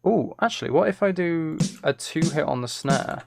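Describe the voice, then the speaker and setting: A man's voice: a little high, lively intonation, normal speed, vocal fry. A man chatting casually as he works on music on a live stream, musing aloud in a self-deprecating way. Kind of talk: casual chat while working.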